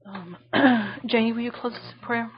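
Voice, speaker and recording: A woman preaching a sermon with a shrill voice, emphatic and repeating phrases for stress. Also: normal speed, muffled recording, slight background noise.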